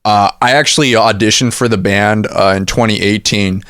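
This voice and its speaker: A man who grew up in Washington State, a band's bassist, telling stories in a chatty way and laughing as he talks. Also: steady tone, normal speed, fairly bright tone, dry recording, clean studio sound. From Washington State, USA. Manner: chatty storyteller, laughs while talking. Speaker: band member, bassist. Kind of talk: casual interview chat.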